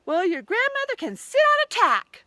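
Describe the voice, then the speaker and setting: A woman voicing an irritable, defiant little male pig from a fairy tale, yelling rudely. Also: extremely high pitch, wildly swooping pitch, moderate pace, dry recording, voice pushed up, exaggerated voice. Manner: shouting, defiant.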